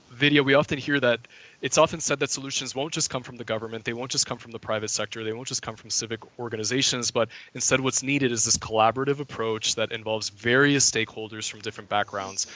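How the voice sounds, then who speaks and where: A man's voice: medium pitch, pushed and bright, rough recording. A man moderating a panel podcast, asking articulate, fluent questions.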